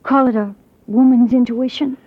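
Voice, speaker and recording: Insistent, grave young client, raised pitch, vintage radio detective drama, dull recording. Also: female voice, steady tone, moderate pace. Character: determined, bereaved daughter hiring a detective. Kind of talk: 1950s radio drama acting. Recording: muffled 1950s radio recording.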